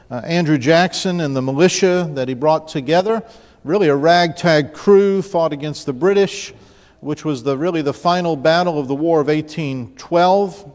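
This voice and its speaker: A middle-aged man from the New Orleans area, preaching at a church pulpit. His voice sits a little high for a man, and he tells stories in a loose, conversational way with frequent restarts. The sound carries a long reverb from the sanctuary. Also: normal speed, a little mellow. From New Orleans area, Louisiana. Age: 50s. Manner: storytelling, conversational, with restarts and asides to the congregation. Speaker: pastor. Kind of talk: sermon. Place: church sanctuary, large room. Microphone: pulpit mic, mid-distance camera.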